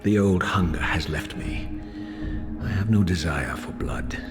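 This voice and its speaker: A man's voice: low voice, unhurried, over loud music. An actor playing a proud, embittered male vampire wraith in a gothic video game, with haughty, formal, brooding diction. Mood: disdainful.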